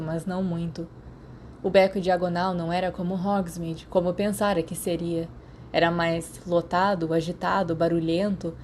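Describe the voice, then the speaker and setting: A woman's voice: on the low side, darkish tone. A woman with a Brazilian accent reading a story as scripted audiobook narration. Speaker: podfic narrator. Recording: clear background noise.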